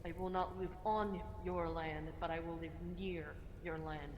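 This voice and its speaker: A woman speaking formally and deliberately in character as a player in an online roleplay. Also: on the low side, steady tone, highs cut off. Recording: long echoey reverb, background noise.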